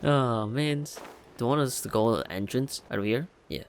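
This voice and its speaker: A man's voice: medium pitch, normal speed, a little hiss or hum. A young man giving casual let's play commentary, chatty, slangy and amused. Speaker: gaming YouTuber.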